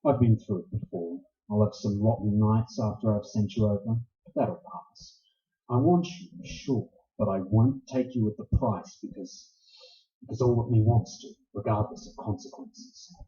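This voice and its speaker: An actor playing a bitter, unsentimental private detective in a tough, clipped 1940s radio noir style. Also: male voice, fairly low pitch, fairly even intonation, normal speed, warm tone, close to an everyday voice. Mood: hard, conflicted. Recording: dull, echoey room recording.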